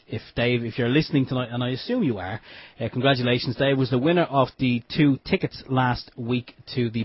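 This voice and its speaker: An Irish man co-hosting an internet radio show in a relaxed, joking way. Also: medium pitch, breathy edge, no room sound. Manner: easygoing, joking, chuckling. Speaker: radio co-host. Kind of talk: casual radio chat. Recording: dull lo-fi home radio stream.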